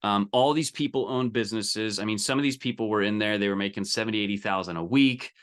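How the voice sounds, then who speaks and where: A man's voice: fairly low pitch, fast, a bit bright. A man giving an informal, emphatic live coaching talk, direct and colloquial, often checking in with listeners. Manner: colloquial, salesy. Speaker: business coach leading a group training call.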